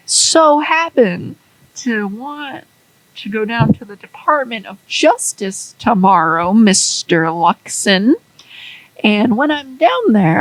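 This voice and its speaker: A woman voicing a smug scheming businessman who talks loud and taunting. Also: heavily acted, rough recording. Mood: gloating. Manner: loud, taunting, high-pitched.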